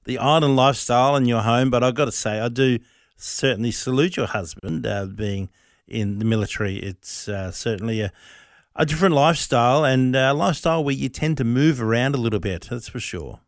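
A man's voice: mid-range voice, fairly quick, no room sound, studio quality. A man hosting a radio interview in a chatty, friendly way. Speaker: radio show producer and stand-in host.

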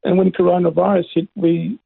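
A middle-aged man, a native Greek speaker, giving earnest testimony as an interview guest, with a raspy voice and emphatic, preacher-like phrasing. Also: high-pitched, little pitch movement, a little fast, dry recording. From Australia. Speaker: interview guest, pastor and evangelist. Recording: narrow phone-like band.

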